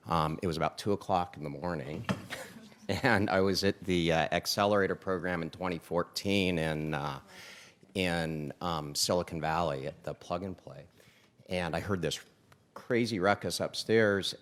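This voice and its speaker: A man on a conference stage, telling a lively founder's story as a panelist. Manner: animated storyteller, pitch jumps for emphasis. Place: conference stage before an audience.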